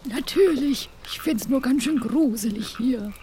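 A man voicing a slim, timid and helpful crewmate in a thin, squeaky game voice. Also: unhurried, mellow, a little hiss or hum. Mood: nervous.

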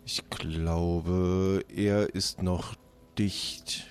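A man playing a polite, morbid undertaker shopkeeper in an improvised radio play, formal and deadpan. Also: low voice, very slow, clean tone, full character voice.

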